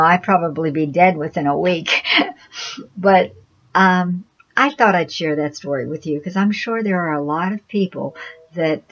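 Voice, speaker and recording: Slow, chatty woman vlogger. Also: fairly low pitch, highs cut off. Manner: chatty, rambling, many restarts and laughs. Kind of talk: casual personal storytelling to camera.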